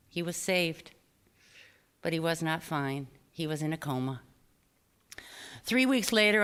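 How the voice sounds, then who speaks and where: Woman, lowish pitch, smooth resonant tone, scripted memoir reading, expressive deliberate storytelling, live literary event. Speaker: author reading own personal essay. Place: small theater stage, live reading before an audience.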